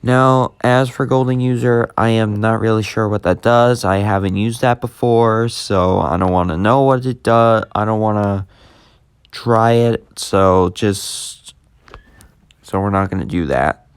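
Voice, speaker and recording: A man with a low, smooth voice walks through an app in a casual, unscripted way. He takes his time, often pausing partway through a sentence. The sound is dry and close, with little room on it. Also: no room sound. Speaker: YouTube host demonstrating an app. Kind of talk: casual unscripted tutorial commentary.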